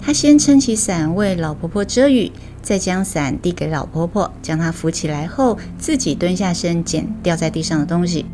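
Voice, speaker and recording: A woman's voice: on the low side, animated, moderate pace, few pauses, warm tone. A woman with a Taiwanese accent, a storytelling host reading scripted narration. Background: music bed.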